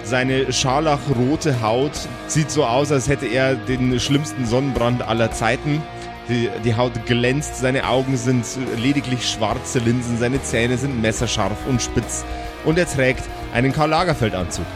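A man improvising narration as game master of a tabletop audio play, halting and joking as he sets the scene. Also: mid-range voice, over music.

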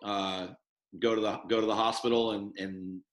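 A man's voice: lowish voice, little pitch movement, moderate pace, roomy sound, background noise. A man hosting a casual podcast, talking loosely with lots of fillers and restarts. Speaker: podcast host. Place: studio headquarters room.

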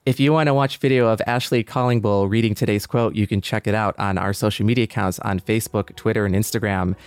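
A man's voice: fairly low pitch, steady tone, fast talker, clean tone, no room sound. A man co-hosting a podcast, talking casually and thoughtfully. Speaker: podcast co-host.

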